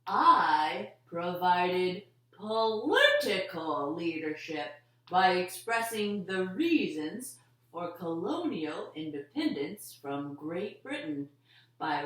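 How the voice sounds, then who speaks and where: A woman voicing an earnest, formal male statesman in a children's puppet show, measured and emphatic. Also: on the low side, very animated, fairly bright tone, exaggerated voice. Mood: solemn. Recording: roomy echo, distant mic.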